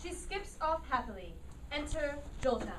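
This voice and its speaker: Woman narrator, stage directions, swooping intonation, distant mic, clear background noise. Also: high voice, big pitch swings, normal speed, fairly bright tone. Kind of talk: animated scripted narration at a staged play reading. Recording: distant mic in noisy room.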